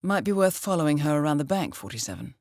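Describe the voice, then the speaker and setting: An actress playing a cool, composed intelligence handler in a video game, briefing an assassin with articulate dry wit. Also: female voice, deep voice, lively intonation, fast talker, darkish tone, no room sound. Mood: cool, dryly amused. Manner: composed, dryly witty, articulate. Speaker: mission handler.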